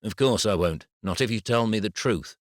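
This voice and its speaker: A man voicing a worried father in an audiobook, speaking softly and reassuringly to his daughter. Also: fairly low pitch, moderate pace, no echo, studio quality, natural voice. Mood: gentle. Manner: soft, coaxing and reassuring.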